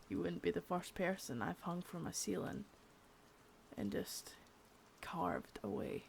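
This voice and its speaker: Woman playing a gravelly sadistic boss. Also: on the low side, slow, a little hiss or hum, heavily acted. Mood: menacing. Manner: soft whispering, mixed with spoken threats; taunting and threatening. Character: sadistic, menacing female boss, cold and taunting. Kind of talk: ASMR roleplay.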